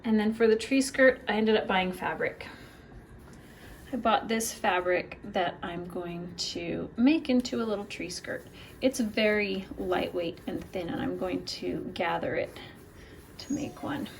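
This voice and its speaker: A woman's voice: mid-range voice, unhurried, creaky, roomy sound, a little hiss or hum. A middle-aged woman chatting casually in a vlog, thinking aloud in an unscripted way. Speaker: vlogger showing family outings and crafts. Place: car, store, home kitchen and craft room.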